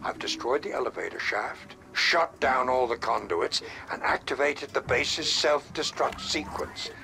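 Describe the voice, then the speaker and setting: An actor playing the scheming, menacing leader of a secret society, speaking with condescension. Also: male voice, medium pitch, expressive, a little slow, creaky, pushed and bright, a little hiss or hum, full character voice. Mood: cold.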